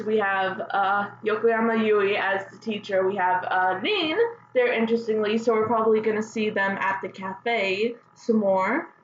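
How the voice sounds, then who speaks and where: A woman's voice: on the high side, roomy sound, muffled recording, slight background noise. A woman hosting casual fan news commentary, speaking as a gushing enthusiast with hesitant, filler-dotted delivery. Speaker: host of a fan news show.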